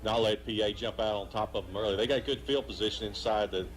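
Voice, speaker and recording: A man's voice: on the low side, fairly even intonation, fast, slight background noise. A man working as a color commentator, giving conversational analysis during a live football broadcast.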